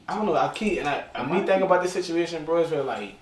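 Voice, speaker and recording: A man in his early twenties chatting casually as a podcast co-host, telling things in a slangy, animated way. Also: on the high side, fast talker. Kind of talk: casual group chat. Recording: echoey room, distant mic, faint noise.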